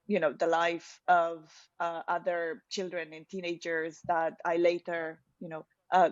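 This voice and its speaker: Lower-pitched Romanian-accented woman, halting, slightly dull audio. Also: no room sound. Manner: hesitant, halting delivery with frequent pauses. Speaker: podcast guest, personal branding specialist. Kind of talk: interview answer, recounting personal story.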